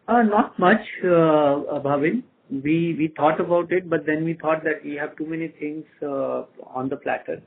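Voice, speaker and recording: An Indian-accented male executive with a husky, slightly high voice speaks over a narrow phone line. He answers questions on an earnings call, pausing and repeating phrases as he explains. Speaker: company executive answering analysts.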